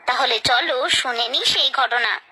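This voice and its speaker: A woman's voice: sits high, unhurried, heavy background noise. A woman narrating a scripted children's story, expressively and directly addressing her young listeners.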